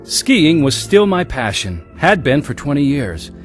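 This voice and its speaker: A man's voice: a little high, big pitch swings, unhurried, music in the background. An actor playing a restless, searching adventurer who tells his own life story earnestly in a radio drama. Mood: reflective, earnest. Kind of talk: radio drama acting and first-person narration.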